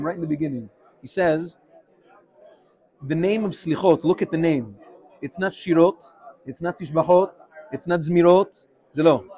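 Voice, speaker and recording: Here is an energetic man, giving a casual Torah class. His voice sits a little high for a man. He keeps jumping between English and Hebrew terms as he explains. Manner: animated, mixes English with Hebrew phrases. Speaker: rabbi teaching a class. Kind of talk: informal Torah class with questions.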